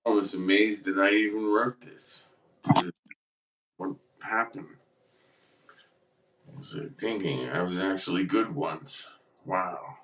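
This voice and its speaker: Man, low voice, off-mic, casual chat, musician guest, hesitant, self-deprecating. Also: steady tone, slow pace, long pauses, fairly bright tone, slight background noise. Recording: distant from the mic.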